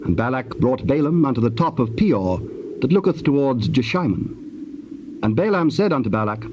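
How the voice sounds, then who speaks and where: A man narrating scripture for a dramatized audio Bible in a measured, formal way. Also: medium pitch, very animated, lo-fi sound, natural voice. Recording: faintly hissy old cassette tape.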